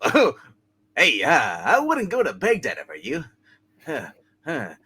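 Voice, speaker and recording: Man putting on a goofy, raspy young wisecracker. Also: a little high, wildly swooping pitch, measured pace, sharp tone, full character voice. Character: goofy, girl-crazy young man with silly catchphrase. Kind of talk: live comedic radio play reading.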